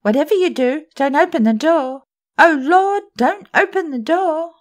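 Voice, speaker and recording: Woman reader doing a panicky little girl dancer, shrill, begging. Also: expressive, unhurried. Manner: shrill and pleading.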